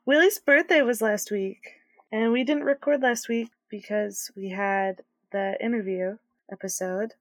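A woman's voice: mid-range voice, no echo. A woman co-hosting a casual podcast who chats about her dog and laughs easily. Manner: chatty and giggly, quick reactions. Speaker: podcast co-host.

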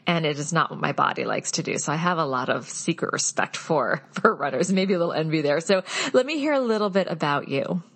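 A woman hosting an interview and teaching yoga, encouraging and quick to laugh. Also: deep voice, lively intonation, fairly bright tone, husky. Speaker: podcast host, yoga teacher. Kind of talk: casual interview.

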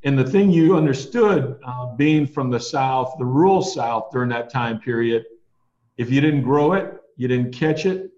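A man in his sixties, an attorney guest, recounting his childhood in a measured, thoughtful way. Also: medium pitch, a little mellow. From USA, Ohio and Alabama. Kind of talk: reflective storytelling interview. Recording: dull, roomy recording.

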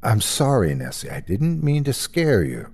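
A man playing a bright, earnest young male hospital resident in a story, stubbornly curious and conversational. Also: medium pitch, huge pitch swings, moderate pace, vocal fry, breathy edge, heavily acted. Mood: apologetic.

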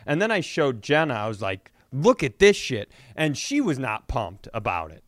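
A man's voice: mid-range voice, huge pitch swings, moderate pace, no room sound, clean studio sound. A man co-hosting a comedy podcast, telling stories casually in a confessional, self-deprecating way. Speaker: podcast co-host.

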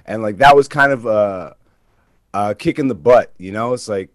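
A man, a comedian talking casually as an interview guest and telling stories in animated, informal language. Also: on the low side, moderate pace, dry recording, clean studio sound. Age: 30s. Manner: informal, peppered with like and you know.

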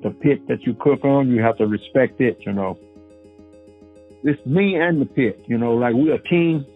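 Pitmaster in his seventies, folksy storyteller, tinny phone-like sound, soft music behind. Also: mid-range voice, moderate pace, breathy edge. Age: 70s. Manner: folksy, animated, loose Southern grammar. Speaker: barbecue pitmaster. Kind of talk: personal storytelling.

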